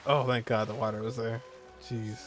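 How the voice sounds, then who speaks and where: A man's voice: medium pitch, flat delivery, normal speed. A man giving casual commentary while streaming a game playthrough. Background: game music.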